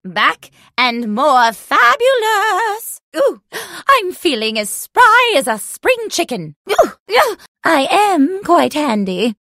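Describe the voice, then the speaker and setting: High-pitched, mischievous old granny witch. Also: very animated, slow pace, sharp tone, no room sound, studio quality, full character voice. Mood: spry, eager. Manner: theatrical, cooing exclamations and old-fashioned endearments. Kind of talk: video game character barks.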